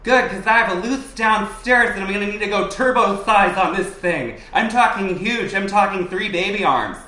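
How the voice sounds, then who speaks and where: A man's voice: high-pitched, moderate pace, bright, forceful tone. A man playing a brash, eager, shameless customer on a prank call. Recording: roomy living room recording.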